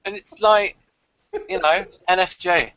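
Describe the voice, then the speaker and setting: A man's voice: high-pitched, unhurried, a bit bright, no room sound, lo-fi sound. A man co-hosting a casual review chat, with a wry way of talking as he mixes prepared jokes with asides. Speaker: podcast co-host.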